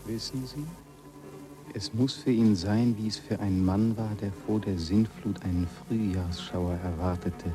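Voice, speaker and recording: An actor playing a quiet, brooding man in a 1940s German radio drama, reflective and deliberate. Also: fairly low pitch, steady tone, lightly acted. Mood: pensive. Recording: old radio recording with music behind.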